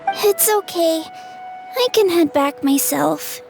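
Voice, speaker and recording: A woman voicing a shy, reserved, forlorn little girl in a video game, speaking hesitantly and softly. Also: very high-pitched, slow, gravelly, heavily acted. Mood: shy, wistful. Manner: hesitant, soft-spoken. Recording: music behind the voice.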